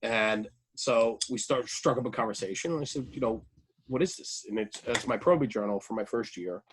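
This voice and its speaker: A man's voice: medium pitch, moderate pace, slight background noise, rough recording. A man chatting casually as a radio show host, informal and enthusiastic, with plenty of slang. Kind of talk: casual chat.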